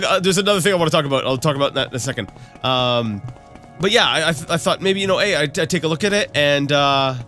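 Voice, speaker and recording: A man's voice: medium pitch, bright, forceful tone. Nasal man rambling through game commentary. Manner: rambling, self-correcting, thinks aloud. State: recovering from a cold, slightly cracky voice. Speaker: let's play host. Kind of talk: casual gameplay commentary.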